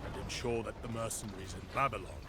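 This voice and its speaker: A man's voice: fairly low pitch, fast, natural voice. An actor playing an imperious, boastful warrior king of ancient Persia, commanding and scornful in a declamatory game style. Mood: haughty, curt. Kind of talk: dramatic game voice acting. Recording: battle noise behind the voice.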